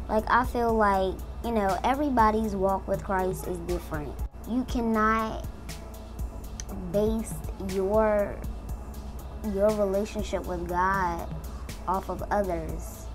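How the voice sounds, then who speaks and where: A woman in her twenties, a beauty vlogger, telling her personal story in a casual, earnest way and talking straight to her viewers. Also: mid-range voice. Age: 20s. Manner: chatty, earnest, addresses viewers as y'all. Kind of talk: casual testimony while doing makeup.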